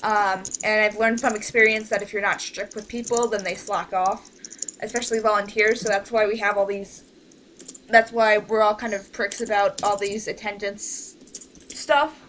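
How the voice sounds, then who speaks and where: A woman's voice: mid-range voice, flat delivery, moderate pace, fairly bright tone. A woman leading an informal online group meeting, talking in a hesitant, rambling way that often trails off. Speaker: director leading a voice cast meeting. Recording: noisy amateur voice-call recording.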